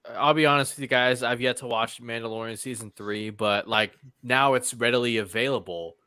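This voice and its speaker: A man's voice: mid-range voice, fairly even intonation, a little fast, sharp tone, clear, resonant tone, no echo, studio quality. A man hosting a casual chat show, talking informally and circling around his point.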